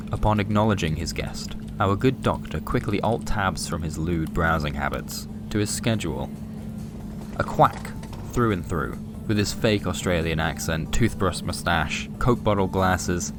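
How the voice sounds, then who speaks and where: A man narrating scripted noir comic fiction in a deadpan, wry manner. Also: low-pitched. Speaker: narrator and presenter. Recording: music behind the voice. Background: music.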